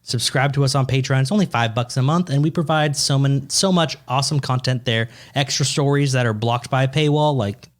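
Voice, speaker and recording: A man's voice: medium pitch, a little fast, hardly any pauses. Playful young man in his twenties. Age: 20s. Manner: teasing, playful, laughs often. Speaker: podcast co-host. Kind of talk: casual comedic chat.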